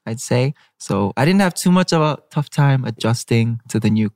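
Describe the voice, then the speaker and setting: A soft-spoken young man answers interview questions in a reserved, hesitant way, filling pauses with "um" and "like". His voice sits at an ordinary pitch for a man. The sound is close and dry, with no room echo. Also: expressive. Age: young adult. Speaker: K-pop group member, guest. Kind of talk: casual interview chat.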